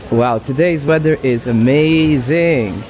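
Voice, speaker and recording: A man's voice: medium pitch, slow, darkish tone. A man in his thirties from Sweden making breezy, self-sharing small talk with a stranger outdoors on a city street. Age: 30s. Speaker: dating coach approaching a stranger. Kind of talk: casual street conversation. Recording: busy street crowd noise.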